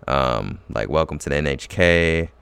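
Young male co-host, very deep and resonant, loose filler-heavy storyteller, dry mic. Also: animated, moderate pace, creaky, a bit bright, smooth, dry recording. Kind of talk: casual chat.

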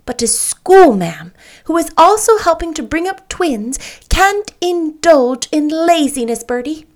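A bright-voiced, excitable young girl with a head full of fancies, put on by a woman reading a storybook aloud. Her voice climbs high and gushes with feeling, yet the delivery stays slow and careful. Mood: dreamy. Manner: excitable, gushing. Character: eager imaginative young girl teacher. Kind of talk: audiobook character reading.

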